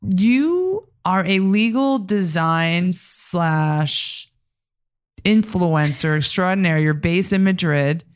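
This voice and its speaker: A woman's voice: on the low side, lively intonation, slow, smooth. A woman hosting a podcast in an informal, effusive, joking way, in casual conversation. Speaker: podcast host.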